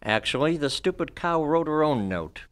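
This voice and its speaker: A man's voice: a little high, lively intonation, moderate pace, fairly bright tone. An older man playing a threatening, murderous doctor, a cold schemer, in an old-time radio drama read on stage. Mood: smug, cold. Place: on a stage, live performance. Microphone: microphone on a stand.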